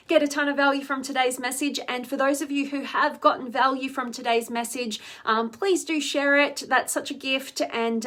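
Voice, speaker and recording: Woman, higher voice, slightly hoarse, live-stream host, reflective. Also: a bit bright. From Australia. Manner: reflective, often ends phrases with "right?". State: slightly hoarse from losing her voice. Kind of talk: live-stream talk reading viewer comments.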